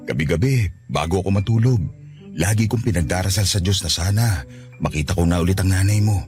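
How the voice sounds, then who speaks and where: A man's voice: low voice, a little fast, darkish tone, soft background music, close to an everyday voice. A man playing a loving, apologetic middle-aged father in a Tagalog radio drama, tender and earnest. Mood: remorseful, tender.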